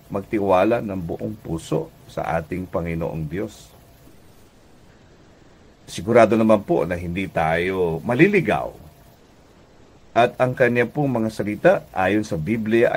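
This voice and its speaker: Slow, low-voiced Filipino male pastor. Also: husky. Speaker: pastor and radio program host. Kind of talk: devotional radio sermon in conversational teaching tone.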